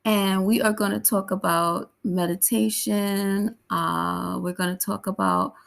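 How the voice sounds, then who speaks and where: A woman's voice: medium pitch, steady tone, a little slow, vocal fry. A woman hosting an interview show, chatty and upbeat, laughing often as she asks her questions. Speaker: podcast host and interviewer.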